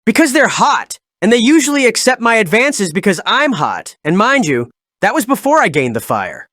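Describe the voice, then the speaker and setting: A man plays a young show-off superhero for a video game. His voice sits a bit high for a man and sounds dry and close. He is breezy and boastful, with easy slang. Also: sing-song, moderate pace, fairly bright tone, no room sound, heavily acted. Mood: cocky. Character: cocky young fire-powered celebrity superhero, playful show-off. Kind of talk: fan-made video game character dialogue.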